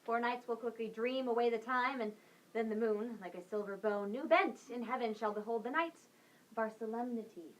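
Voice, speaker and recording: A woman playing a poised noble bride-to-be, lyrical and measured, in a live Shakespeare reading. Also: a little high, lightly acted, low-quality recording. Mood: serene.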